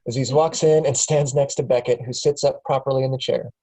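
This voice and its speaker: A man's voice: mid-range voice, fairly even intonation, a little fast, dark tone, rough recording. A man reading the stage directions aloud at a script table read, plain with small chuckles.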